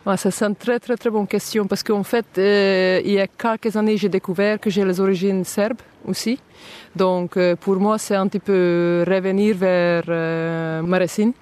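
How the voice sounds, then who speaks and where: A woman's voice: mid-range voice, steady tone, moderate pace, a little mellow. Woman searching for words. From southern Hungary. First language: Hungarian. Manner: hesitant, with many fillers, searching for words. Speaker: interview guest. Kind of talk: casual interview talk.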